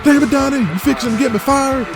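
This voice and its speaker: Man acting out an irate, shrill wrestling boss, music blaring. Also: quick pace. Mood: furious. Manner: loud, irate, folksy cursing. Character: angry Southern male wrestling boss yelling in exasperation. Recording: loud music bed.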